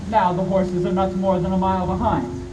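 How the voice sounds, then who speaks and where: A man's voice: high-pitched, flat delivery, normal speed, natural voice. A man playing an authoritative older professor and vampire hunter, speaking formally and urgently in a radio play. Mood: tense narration. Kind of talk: dramatic narration in 1930s-style radio play. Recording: distant mic, loud background noise.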